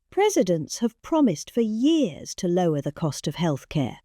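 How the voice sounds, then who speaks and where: A middle-aged woman with a British accent reading a political speech in a calm, measured way, with long pauses and heavy emphasis. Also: mid-range voice, dry recording, clean studio sound. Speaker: reader.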